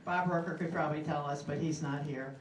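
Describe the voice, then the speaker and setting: A woman's voice: deep voice, fairly even intonation, slight background noise. Rambling woman reporting from the floor. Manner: rambling, halting, with many fillers. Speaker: club member reporting. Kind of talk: casual spoken report. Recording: roomy hall, speaker far from mic.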